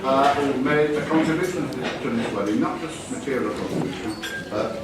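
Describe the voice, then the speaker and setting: A man's voice: medium pitch, a little fast. Older father of the bride, echoey and distant. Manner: jokey, rambling, self-correcting. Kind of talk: wedding speech. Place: wedding reception hall. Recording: echoey hall from far off, noisy.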